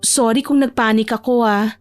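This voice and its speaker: A woman performs a shy, insecure young Filipina in an audio romance drama. The voice is high and emotional, with a timid, pleading manner, and music runs behind it. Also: fairly even intonation, normal speed, close to an everyday voice. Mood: hurt, subdued.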